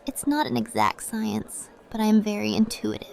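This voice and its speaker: A woman playing a sly, composed sorceress in a fantasy audio drama, measured, mysterious and coy. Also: medium pitch, creaky, a little mellow, a little hiss or hum, low-quality recording.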